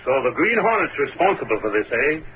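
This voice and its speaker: An actor playing a tough, sardonic police sergeant in 1930s radio style. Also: male voice, on the high side, very animated, brisk. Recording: tinny, hissy old radio recording.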